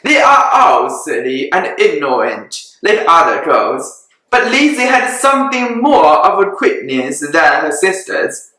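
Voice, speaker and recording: A reader drops their voice low to play a dry, sarcastic older father in a storybook reading, teasing and unhurried. The voice stays bright, and the room echoes heavily. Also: very animated.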